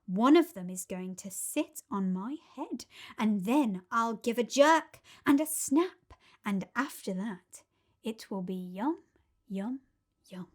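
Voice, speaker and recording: A greedy crocodile from a storybook plots in a sly, gloating murmur, as a woman reading aloud puts him on. He talks slowly and lingers over his words. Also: fairly high pitch, lively intonation. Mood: scheming. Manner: sly, gloating, sometimes hushed. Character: greedy scheming male crocodile.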